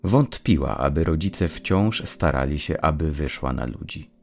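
Male, low creaky voice, measured dramatic audiobook narration, lively intonation. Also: soft background music. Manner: measured, literary storytelling. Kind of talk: scripted dramatic narration of dark fiction.

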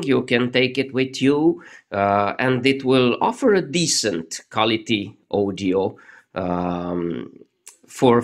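A man presents a homemade electronics project in a casual, unscripted way. He speaks slowly at a middle pitch, often halting to search for words, picked up by a phone over his workbench. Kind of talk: casual improvised demonstration of a homemade radio. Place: indoors at a hobby workbench. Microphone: phone held over the workbench.

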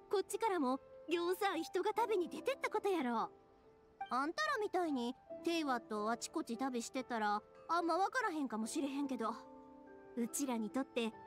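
A woman voicing a bubbly, warm-hearted young craftswoman with a Kansai accent in an anime game. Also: high voice. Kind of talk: Japanese anime game voice acting.

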